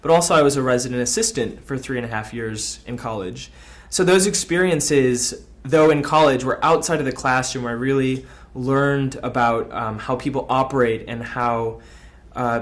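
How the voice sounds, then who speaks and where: A man, reflecting in a measured, articulate way during a documentary interview. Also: mid-range voice. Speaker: college alumnus being interviewed.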